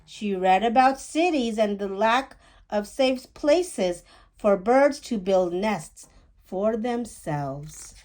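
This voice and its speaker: Woman reading a picture book, very lively pitch. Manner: deliberate, animated phrasing for children. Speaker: children's picture book storyteller. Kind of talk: expressive read-aloud of a rhyming picture book.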